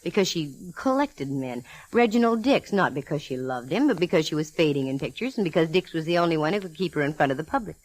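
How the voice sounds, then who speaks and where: An actress playing a sharp, breezy female private eye in 1940s radio style, brisk and teasing. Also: deep voice, lively intonation, rough recording. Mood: brisk, knowing. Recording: vintage 1940s radio recording.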